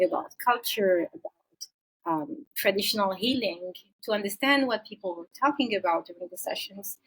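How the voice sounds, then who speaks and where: A woman's voice: mid-range voice, fairly bright tone, slightly rough, poor audio. Hesitant middle-aged woman from Senegal. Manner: thoughtful, many pauses and hesitations. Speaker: psychologist guest. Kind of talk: interview answers.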